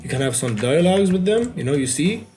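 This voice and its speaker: A man's voice: fairly high pitch, very animated, quick pace, darkish tone, faint music behind. A man whose first language is Farsi gives a loose, unedited walkthrough of his indie game. He keeps filling gaps with "you know". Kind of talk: casual unedited devlog walkthrough.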